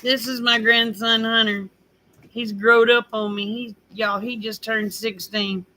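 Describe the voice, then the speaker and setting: A woman's voice: fairly high pitch, little pitch movement, measured pace, bright, forceful tone, slight background noise, poor audio. An older woman, rambling in a folksy, casual chat with her viewers. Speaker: vlogger.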